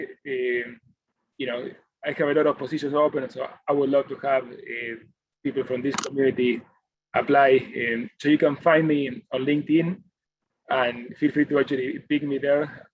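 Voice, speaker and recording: A man's voice: medium pitch, normal speed. A man in his forties from Argentina, speaking with an Argentine Spanish accent, casually introducing himself on a panel. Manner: relaxed, with frequent "you know" fillers. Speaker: engineering manager, panelist. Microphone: video call. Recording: dull lo-fi video call with faint noise.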